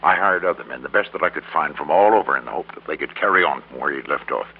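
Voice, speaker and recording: An actor playing a greedy, defeated middle-aged businessman in an old radio drama, confessing in a halting, resigned way. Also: extremely low pitch, animated. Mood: bitter, desperate. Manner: halting, resigned, repeats words for emphasis. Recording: crackly, narrow-band old radio recording.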